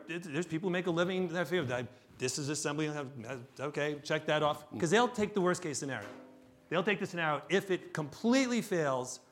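A man's voice: a little high, fairly bright tone. A middle-aged American man, a filmmaker on a panel, explaining things in an emphatic, matter-of-fact way.

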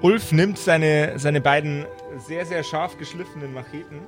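Slow, higher-voiced male game master. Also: fairly even intonation. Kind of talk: improvised narration of a tabletop role-play. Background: faint music.

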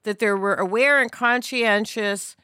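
A woman talking casually as a podcast host, animated and conversational, often checking that others agree. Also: mid-range voice, a little slow, clean tone, no room sound, studio quality.

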